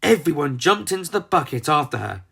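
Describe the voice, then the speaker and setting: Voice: medium pitch, big pitch swings, brisk, creaky, fairly bright tone, breathy edge. A storyteller narrating a children's book in a theatrical, emphatic manner. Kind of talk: dramatised storybook narration with character voices.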